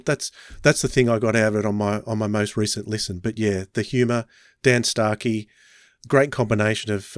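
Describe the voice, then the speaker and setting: A man's voice: medium pitch, no room sound, studio quality. An Australian man hosting a podcast in casual conversation, thinking aloud as he talks. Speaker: podcast host. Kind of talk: casual chat.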